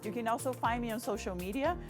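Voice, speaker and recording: A woman from Brazil with a Brazilian Portuguese accent, an editor reading a scripted promotional spot in an earnest, measured way. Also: on the high side, music in the background. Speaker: newspaper editor.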